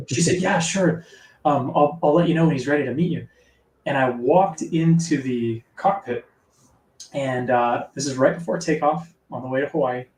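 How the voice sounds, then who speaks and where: Man, sleepy soft delivery, casual chat, echoey room, set back from the mic. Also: mid-range voice, normal speed, distant mic. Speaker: author guest. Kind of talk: casual live interview chat.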